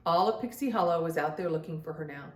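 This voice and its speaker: A woman's voice: on the low side, fast talker, recorded from a distance, natural voice. A woman reads as a fretful female fairy from a children's storybook. She is earnest and eager to help. Her voice has a roomy echo. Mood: reassuring. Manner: earnest, trying to sound confident.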